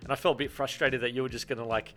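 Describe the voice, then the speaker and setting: Bright-voiced nasal man, teasing. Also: medium pitch, steady tone, racing, faint music behind. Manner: teasing, laughing storyteller. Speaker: podcast guest, host's brother. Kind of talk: casual chat.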